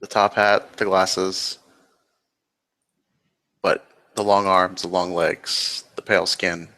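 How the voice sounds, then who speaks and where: A man's voice: sits low, flat delivery, takes it slowly, pushed and bright. A man taking part in a tabletop roleplay as a player, describing his character's looks and actions.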